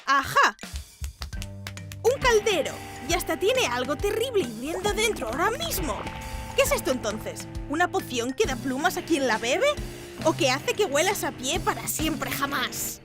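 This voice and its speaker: Perky scatterbrained cartoon princess girl, high voice, really bouncy and chatty. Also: very animated, measured pace, over music. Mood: coaxing, animated.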